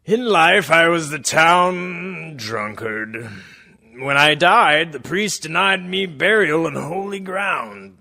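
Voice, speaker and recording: A man playing a brash small-town drunkard with a gravelly, forceful voice in a radio monologue. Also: fairly high pitch, expressive, slow, full character voice. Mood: brash, wry. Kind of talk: theatrical monologue for community radio play.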